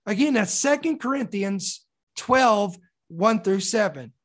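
A preacher with a slightly raspy, mildly hoarse voice, giving an expository sermon and reading scripture with deliberate emphasis on key words. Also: medium pitch, expressive. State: mildly hoarse from sore throat.

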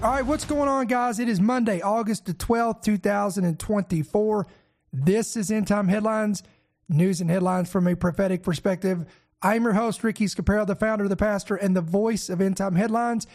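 A man hosting and pastoring a news commentary show, his voice raspy and congested from a head cold, talking in an energetic, emphatic way. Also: high voice, moderate pace, faint music behind. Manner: energetic, emphatic preacher-style delivery with fillers. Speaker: host and pastor.

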